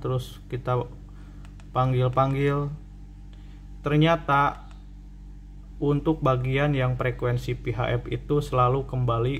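A man's voice: medium pitch, slight background noise. A man giving a casual hands-on tutorial as a repair technician, repeating and rephrasing as he explains. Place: indoor cluttered repair workbench.

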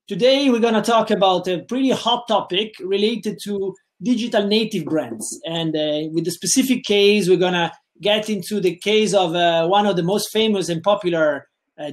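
Italian-accented male lecturer, middle-aged, webcam call, hesitant with many fillers. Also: high-pitched. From Italy. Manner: halting, many fillers and repeated words. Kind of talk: online webinar lecture. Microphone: webcam video call.

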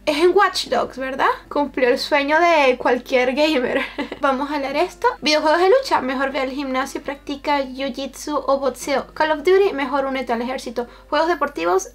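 A woman in her twenties with a Venezuelan accent, chatting casually to camera as a streamer. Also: high-pitched. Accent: Venezuelan Spanish. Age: 20s. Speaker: streamer and YouTuber reacting to memes and streams. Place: small bedroom with shelves, indoors. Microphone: camera-mounted shotgun microphone.

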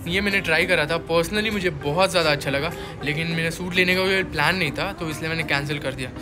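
Young Indian man, higher voice, music behind. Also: quick pace. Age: young adult. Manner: mixes English words and phrases into his speech. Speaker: vlogger. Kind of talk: casual shopping vlog narration.

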